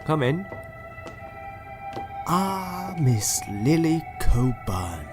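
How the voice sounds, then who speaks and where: A man's voice: fairly high pitch, sing-song, very slow, over music, full character voice. A man playing a sinister doctor in an audio drama, politely menacing and condescending, sometimes murmuring. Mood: falsely courteous.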